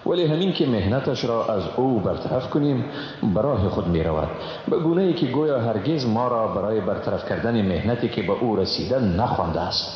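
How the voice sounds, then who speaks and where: A man's voice: on the low side, lively intonation. Male reader, Afghan Dari accent, formal scripture translation, long reverberant tail, slightly muffled sound. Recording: very echoey, reverberant, muffled, faint noise.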